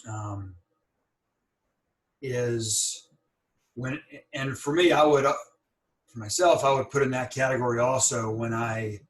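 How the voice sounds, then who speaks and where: A man's voice: medium pitch, fairly even intonation, takes it slowly, pauses often. Man who stutters, talking in a roomy space. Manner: stutters, with restarts and repeated words; thoughtful, encouraging. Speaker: podcast host. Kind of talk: conversational interview. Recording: roomy, a bit far from the mic.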